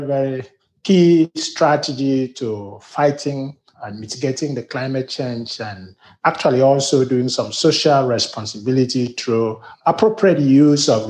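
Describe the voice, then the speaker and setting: A man's voice: mid-range voice, a little mellow. Nigerian man with a roomy echo. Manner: earnest, formal, with repeated restarts. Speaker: university sustainability director. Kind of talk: podcast interview answers.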